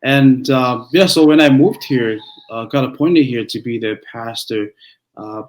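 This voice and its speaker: Young Tongan-born pastor, halting speech. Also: male voice, medium pitch. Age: young adult. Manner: halting, many restarts and fillers. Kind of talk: conversational interview.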